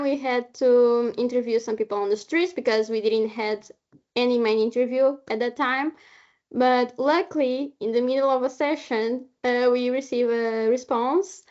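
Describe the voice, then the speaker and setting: A woman who is not a native English speaker gives an informal, halting presentation over an online call as a film maker. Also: on the high side, a little mellow. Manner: halting, searching for words. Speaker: short film maker introducing the film. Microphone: video call. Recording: dull, lo-fi online call audio.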